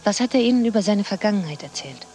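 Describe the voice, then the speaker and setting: A woman's voice: mid-range voice, expressive, quick pace, dark tone, faint music behind, natural voice. A woman playing a determined researcher in a radio drama, composed and inquisitive. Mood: polite, probing. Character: determined female researcher narrating and interviewing witnesses. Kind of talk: radio drama, narration and dialogue.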